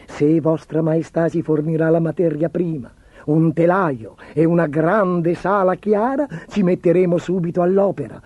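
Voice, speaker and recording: Man acting a sly storybook tailor, persuasive, fawning, mellow tone, roomy recording. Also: on the high side, moderate pace, lo-fi sound, close to an everyday voice. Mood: ingratiating. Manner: obsequious, self-assured, persuasive. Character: smooth-talking, sly swindler posing as royal tailor. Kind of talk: storybook character voice.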